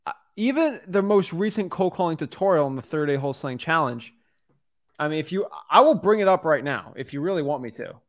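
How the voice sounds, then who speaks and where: A man's voice: on the high side, animated, fast talker. A young man in his twenties coaching a student on a video call, talking bluntly and directly into a studio microphone in a home studio. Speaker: wholesaling coach. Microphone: studio mic on a boom arm.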